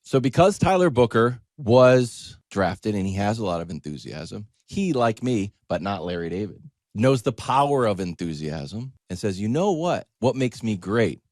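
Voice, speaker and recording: Man, sports show host, comedic monologue, conversational, very lively intonation, emphatic, lower pitch, dry sound. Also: normal speed, no echo. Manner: emphatic, animated, acts out quoted exchanges.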